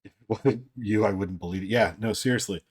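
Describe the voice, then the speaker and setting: A young man casually chatting with livestream viewers in a deep, fairly monotone voice, rambling with filler words and frequent swearing, his voice a little strained. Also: a little fast, slightly rough. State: voice strained from an old throat injury. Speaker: livestream host.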